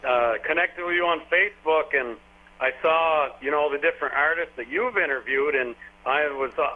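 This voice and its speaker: Man, 40s, from Vermont, country singer guest; slightly high voice, rambling folksy talker, tinny phone audio. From Vermont, USA. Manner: rambling, folksy storytelling with frequent fillers. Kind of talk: casual phone interview. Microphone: telephone.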